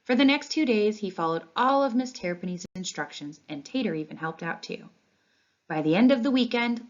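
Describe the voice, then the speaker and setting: A woman reads a children's story aloud with emphasis and expression. Her voice sits a little low for a woman, and the recording is somewhat dull and lo-fi. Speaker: read-along reader. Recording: dull, lo-fi sound.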